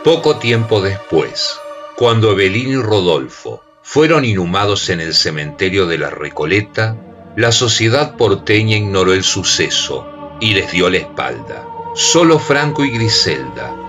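A man narrating a radio drama in a grave, expressive storytelling voice. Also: low voice, unhurried. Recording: music bed under voice.

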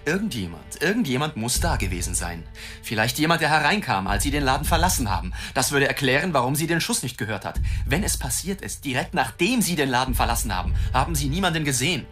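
A man's voice: mid-range voice, big pitch swings, fast talker, a bit bright, soft background music. An actor playing a brash, impatient newspaper reporter in a German radio play, blunt and profane as he presses. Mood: pressing, insistent. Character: brash, foul-mouthed male newspaper reporter, relentless and impatient.

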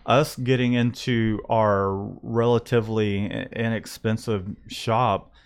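A man's voice: lowish voice, steady tone, takes it slowly, clean tone. A man in his thirties, a print shop owner, talking casually about his business into a close studio mic, rambling and restarting his thoughts. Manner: rambling, restarts often, thinks aloud. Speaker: screen printing shop owner and podcast host. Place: small print shop room. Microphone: studio condenser mic close up, headphones.

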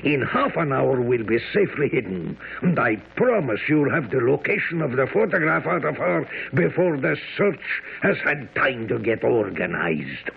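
A scheming, persuasive crook in an old radio play. His voice is very creaky and badly husky, swinging widely in pitch through a tinny broadcast sound. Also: sing-song, moderate pace, hardly any pauses, exaggerated voice. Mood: scheming, reassuring. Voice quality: gravelly, husky. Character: scheming male criminal, confident and persuasive. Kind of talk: radio drama acting.

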